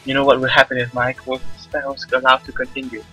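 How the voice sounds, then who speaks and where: A man's voice: medium pitch, monotone, fast, sharp tone, slightly rough, poor audio. A man voicing a stern, exasperated older-sister spirit woman while reading a visual novel aloud. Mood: grave, pleading. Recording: music behind the voice.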